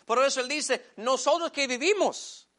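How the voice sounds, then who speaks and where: A man whose first language is English preaching a sermon in Spanish, addressing the congregation as brothers and slowing down to stress key phrases. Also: very high voice, a bit bright. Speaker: pastor.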